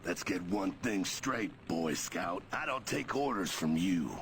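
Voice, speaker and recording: Gravelly, surly strongman. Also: deep voice, animated, measured pace, noisy background. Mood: belligerent. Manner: gruff, belligerent. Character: surly, defiant male strongman who resents orders. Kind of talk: video game cutscene voice acting.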